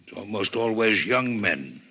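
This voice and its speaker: A low, husky alien doctor speaks with stiff, scholarly courtesy and a very forceful edge. He is a formal visitor to Earth in a 1950s radio play, caught on an old recording. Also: lively intonation, a little slow, muffled recording, full character voice. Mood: formal curiosity. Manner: stiff, precise, scholarly phrasing. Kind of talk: 1950s radio drama acting.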